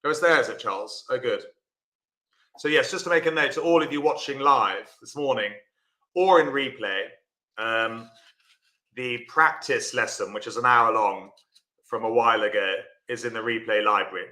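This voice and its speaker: Bloke teaching live online, chatty, says hi to viewers, bright voice in a roomy echoey space. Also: male voice, mid-range voice, moderate pace. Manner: chatty, talks to viewers by name while explaining. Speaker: bridge teacher.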